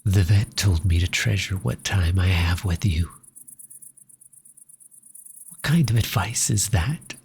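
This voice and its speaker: A deep, very breathy man's performance of a grieving young orphan in an intimate audio roleplay. The words come slowly and haltingly, often sinking into a whisper. The temper is tender and lonely, addressed closely to a beloved cat. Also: darkish tone, soft background music, heavily acted. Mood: soft, worried affection. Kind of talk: soft ASMR roleplay acting.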